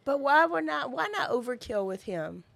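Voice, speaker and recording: A woman's voice: high voice, very animated, normal speed, creaky, a bit bright, no echo. A woman co-hosting a true crime podcast, chatting informally and chiming in with reactions and questions. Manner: informal, interjecting. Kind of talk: casual chat, reacting and questioning.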